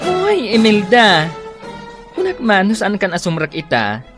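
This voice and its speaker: Concerned gossipy friend, mid-pitched, very animated, Ilocano radio drama. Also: female voice, measured pace, over music. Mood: gossiping. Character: gossipy, concerned female friend bringing news.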